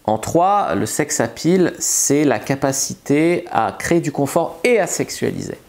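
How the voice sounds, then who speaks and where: A man's voice: a little high, big pitch swings, measured pace, vocal fry, darkish tone. Casual, chatty male seduction coach. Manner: loose and chatty, frequent filler words and restarts. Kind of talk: casual advice monologue to camera.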